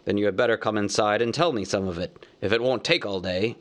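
A man reading a storybook aloud, voicing a huge, gruff, bearded man who growls his blunt, wary questions. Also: on the low side, fairly quick, fairly bright tone, voice pushed down, close to an everyday voice.

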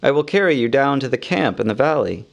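A man reading an audiobook, voicing a strong, steady male warrior with a firm and earnest manner. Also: medium pitch, brisk, creaky. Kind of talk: audiobook character voice.

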